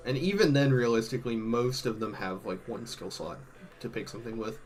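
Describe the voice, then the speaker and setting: A man doing casual, slangy live-stream commentary, thinking out loud, his voice a bit worn from hours of talking. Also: mid-range voice, a little fast, faint music behind. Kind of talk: casual live-stream commentary, ranking game tier lists.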